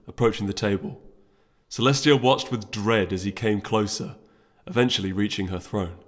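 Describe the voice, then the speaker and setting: A man reading a story aloud as dramatic, solemn and deliberate scripted narration. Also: fairly low pitch. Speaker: story reader.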